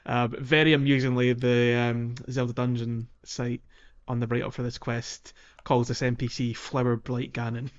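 A man's voice: mid-range voice, little pitch movement, normal speed, hardly any pauses, dull audio. A man co-hosting a podcast, talking casually about a video game playthrough in a chatty, hesitant way. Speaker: podcast co-host.

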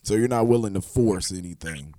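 A man hosting an interview, animated and quick to laugh along and affirm his guests. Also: on the low side, dry recording, studio quality. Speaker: interviewer host. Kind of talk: casual interview chat.

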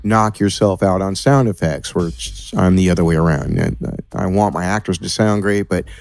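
A man's voice: sits low, moderate pace, darkish tone, soft background music. An American man talking casually and playfully as a podcast guest, explaining how he works in a laid-back, colloquial way. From California, USA. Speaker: podcast producer and audio editor, guest.

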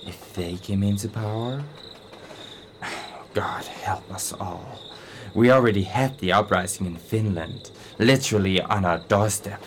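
Older anxious businessman, slow-spoken, hesitant; actor's radio play role, faint insect ambience. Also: fairly low pitch, slight background noise, lightly acted. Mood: worried. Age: 60s. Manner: hesitant, worried, halting. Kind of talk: radio drama acting.

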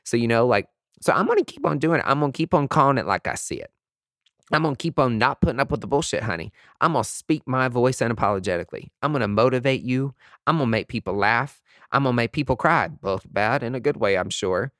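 A man's voice: medium pitch, animated, fast talker, a bit bright, dry recording, clean studio sound. A man giving a candid, casual motivational monologue in a sassy, emphatic, conversational way, with camp slang and some swearing. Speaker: podcast speaker, motivational aesthetics-industry personality.

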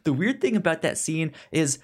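A podcast co-host chatting casually about films and comics, halting and often stammering over words. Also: mid-range voice, sing-song, slightly rough. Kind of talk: casual film and comic discussion.

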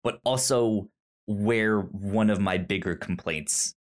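A man hosting a podcast, talking casually about books in a halting way with repeated word starts. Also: lowish voice. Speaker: podcast host. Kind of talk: casual book discussion.

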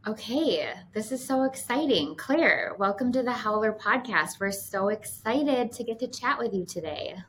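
A woman's voice: a little high, animated, moderate pace, hardly any pauses, poor audio. A woman co-hosting a podcast, chatty and upbeat, with lots of fillers. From Minnesota. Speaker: podcast co-host. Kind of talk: casual podcast chat. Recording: faint background noise.

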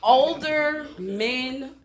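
A woman in her twenties co-hosting a podcast, frank and expressive, drawing out her words. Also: high-pitched, drawn-out words, crowd noise. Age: 20s. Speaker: podcast co-host. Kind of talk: casual chat.

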